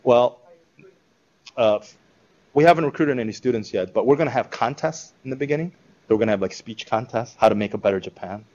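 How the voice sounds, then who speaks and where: Animated man giving a casual talk. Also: mid-range voice, fairly quick, muffled recording. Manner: conversational, animated, acts out quotes. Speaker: speaker presenting a school project. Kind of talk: informal talk with audience questions. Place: room with live audience.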